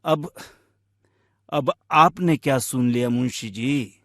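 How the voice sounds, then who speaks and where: A man playing a troubled young village husband in a Hindi animated moral story. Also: mid-range voice, slow, no room sound, clean studio sound. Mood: fed up. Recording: music bed under the voice.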